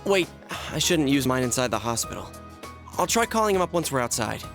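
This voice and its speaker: An actress playing an emotional, outspoken teenage girl in an English video game dub, with an earnest, expressive delivery. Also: rumbling low, a little fast, vocal fry, music in the background, exaggerated voice. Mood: puzzled.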